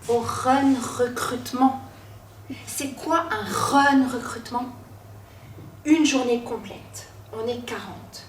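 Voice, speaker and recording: A young woman from Corsica, telling her own story on stage, with dramatic pauses and self-mocking asides. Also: fairly high pitch, slight background noise. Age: 20s. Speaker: student storyteller. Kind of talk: personal storytelling talk. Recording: echoey room, from a distance.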